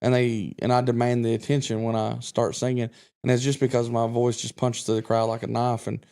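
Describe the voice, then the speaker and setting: A man's voice: medium pitch, completely flat delivery, a little fast, creaky, mellow. A self-assured man from Kentucky talks as a guest on a casual podcast. His voice sits in a middle range, and he rambles on with plenty of restarts. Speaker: guest country singer.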